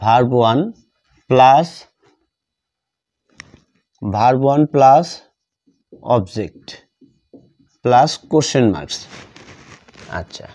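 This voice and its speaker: Slow, dark-voiced Bengali man teaching. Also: mid-range voice. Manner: deliberate, repetitive, spelling out each phrase. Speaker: English grammar teacher. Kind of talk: grammar lesson, dictating while writing.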